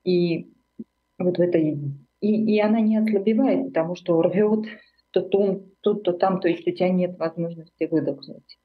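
A woman's voice: fairly low pitch, steady tone, moderate pace, phone-quality sound. A middle-aged woman from Armenia, a writer speaking as an interview guest in a reflective, searching way, full of fillers and self-corrections.

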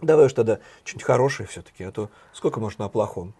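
A man with a hoarse voice co-hosting a satirical news chat, talking in a sardonic, ironic way. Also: lowish voice, lively intonation, quick pace, noisy background. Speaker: co-host, journalist.

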